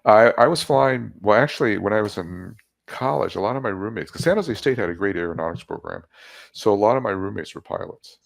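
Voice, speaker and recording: A man's voice: lowish voice, lively intonation, brisk, creaky. A man in his seventies, a guest casually telling stories about his life and laughing at his own jokes. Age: 70s. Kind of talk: casual storytelling interview.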